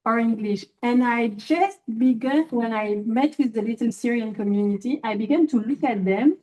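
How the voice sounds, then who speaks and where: A woman, an artist answering questions at a symposium, quick and emphatic. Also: on the high side, dark tone, muffled recording.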